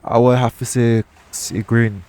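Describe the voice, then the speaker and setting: A man playing a shady, jaded yet tender pirate captain in an intimate audio roleplay, talking colloquially and frankly, with gentle teasing. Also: mid-range voice, level tone, a little slow, natural voice. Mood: playful, tender. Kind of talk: intimate audio roleplay acting addressed to the listener. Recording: clear background noise, ambient sound bed.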